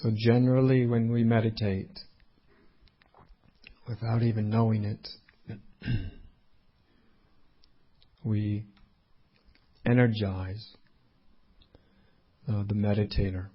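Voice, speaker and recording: Low, even-toned man guiding meditation. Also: lo-fi sound. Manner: unhurried, with long pauses between short phrases. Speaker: meditation teacher. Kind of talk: guided meditation talk.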